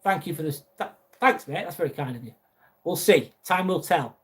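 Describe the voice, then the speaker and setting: A young man from northwest England with a Lancashire accent, hosting a live video chat. His voice sits a little high for a man. He talks in a loose, friendly way, reading viewers' messages aloud and answering each one. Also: expressive, moderate pace, fairly bright tone. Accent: Lancashire English. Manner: chatty, reads out viewer messages and answers them. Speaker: live stream host.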